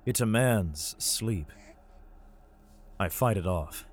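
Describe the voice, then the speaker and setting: A man narrating an audio drama in the first person as a weary father, measured and grim. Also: low voice, expressive. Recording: faint music behind.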